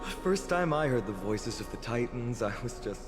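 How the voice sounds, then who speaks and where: A man's voice: medium pitch, lively intonation, over loud music, natural voice. Man acting an earnest young warrior in reverb. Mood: solemn, reflective. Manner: measured, formal, sincere. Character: earnest young male warrior hero, gracious and solemn. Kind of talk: video game voice acting.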